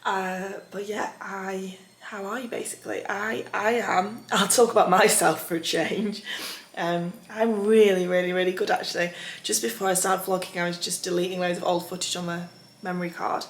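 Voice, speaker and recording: A young woman with a husky, raspy voice from tonsillitis, chatting upbeat to her vlog viewers with lots of ums. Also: medium pitch, little pitch movement, normal speed, slight background noise. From England. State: recovering from tonsillitis. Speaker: vlogger. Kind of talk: casual vlog chat. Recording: echoey room.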